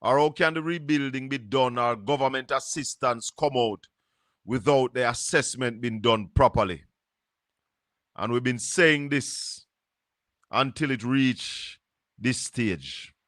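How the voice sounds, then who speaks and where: A Jamaican man with a Jamaican accent hosts a live stream in an unhurried, musing way, dropping into patois. His voice is bright and forward, and the sound is close and dry with no room around it. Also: mid-range voice, no echo. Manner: slow, musing, drops into patois. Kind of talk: casual live-stream commentary.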